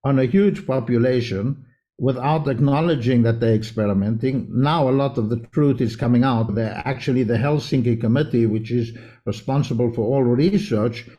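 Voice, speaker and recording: A man from South Africa who grew up in Israel talks as an interview guest. His voice is soft and dark in tone, and he explains things earnestly in long, winding answers. His pitch sits at an ordinary middle level for a man. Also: normal speed, lo-fi sound. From South Africa, raised in Israel. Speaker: guest homeopath. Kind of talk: conversational interview.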